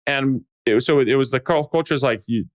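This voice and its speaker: A man's voice: mid-range voice, brisk. A man hosting a podcast, asking curious, casual follow-up questions. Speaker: podcast host.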